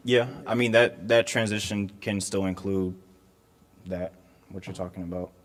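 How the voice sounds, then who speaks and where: Low voiced guy at a community meeting, lots of ums, long hall echo. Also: steady tone. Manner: measured, with frequent ums. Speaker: county staff member answering residents. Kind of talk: public meeting Q&A.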